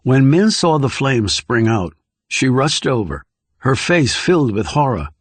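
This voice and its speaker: A man reading scripted thriller narration in a measured, composed way. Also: mid-range voice, sing-song, husky, no room sound. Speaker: audiobook narrator.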